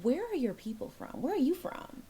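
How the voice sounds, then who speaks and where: A woman's voice: sits high, sing-song, normal speed, dark tone. A woman hosting a casual interview, asking friendly, chatty questions. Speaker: podcast host.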